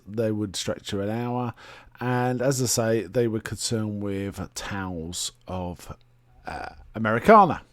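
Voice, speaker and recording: A man hosting a casual solo review, talking in a halting, rambling way and often correcting himself. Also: mid-range voice, a little mellow, no room sound. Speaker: host reviewing film and television.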